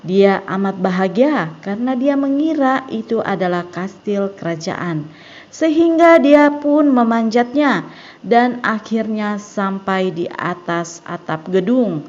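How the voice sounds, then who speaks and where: A woman narrating a film recap in a slow, measured, scripted reading style. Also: medium pitch, expressive, darkish tone, dull audio.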